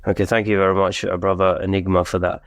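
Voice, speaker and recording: A man with a low, steady voice hosts a podcast in a measured, formal manner. He opens with Arabic greetings. The recording is dry and close. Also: no room sound. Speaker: podcast host. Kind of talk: podcast hosting with scripted intro.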